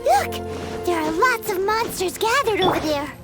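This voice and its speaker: A woman voicing a tiny, childlike, excitable fairy companion in a video game, chirpy in manner. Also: very high-pitched, moderate pace, over music. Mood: curious. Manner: chirpy, refers to self in third person.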